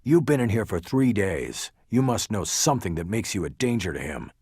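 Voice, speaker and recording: A man voicing a gruff, angry, confrontational police detective in an audiobook crime novel. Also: on the low side, very animated, brisk, darkish tone, no room sound, clean studio sound, full character voice. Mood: pressing. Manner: barking, confrontational, insistent.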